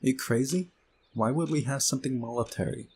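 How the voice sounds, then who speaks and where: A man's voice: medium pitch, brisk, a little mellow, breathy edge, pitched above the performer's own voice. Man as a young female librarian, brusque, busy, dismissive, visual novel character, music behind. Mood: indignant.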